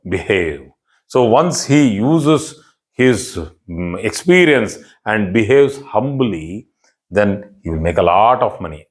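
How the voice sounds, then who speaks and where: A man with an Indian English accent, a native Kannada speaker, teaching an online astrology class in an explanatory, emphatic way and repeating key phrases. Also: medium pitch. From southern India.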